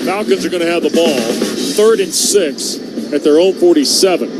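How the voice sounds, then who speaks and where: A man's voice: high voice, moderate pace, over music. An American man doing live radio play-by-play commentary of a football game from a stadium booth. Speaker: play-by-play announcer. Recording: loud stadium din behind voice.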